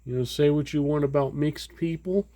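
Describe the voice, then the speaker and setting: A man's voice: a little high, moderate pace, warm tone, slight background noise. A man casually showing items in a home vlog, rambling with many fillers and restarts. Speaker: vlogger. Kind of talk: casual show-and-tell vlog.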